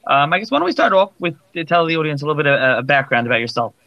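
A man hosting a casual live interview podcast, speaking in an informal, halting and loosely phrased way. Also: on the high side, sing-song, no echo, muffled recording. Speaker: podcast host.

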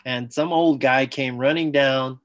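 A man, a former athlete, talking as a guest on an interview podcast, telling his story with enthusiasm in quick bursts. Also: mid-range voice, fairly even intonation. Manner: enthusiastic, talks in bursts, acts out quoted dialogue.

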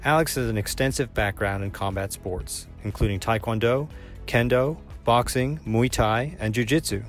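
A man hosting a podcast, introducing the show and his guest in a measured, scripted way. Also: mid-range voice. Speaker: podcast host. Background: faint music.

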